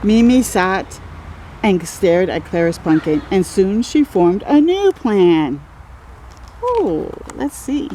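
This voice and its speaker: A woman's voice: medium pitch, mellow, slight background noise. A woman reading a picture book aloud to young children, expressively, with exclamations and encouraging little asides. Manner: exclaims and comments encouragingly, drawing out key words. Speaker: children's read-aloud storyteller.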